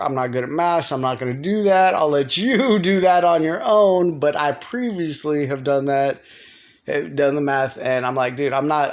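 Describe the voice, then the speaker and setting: An American man rambling casually to viewers in a vlog, halting often, repeating himself and circling back. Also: a little high. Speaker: video blogger.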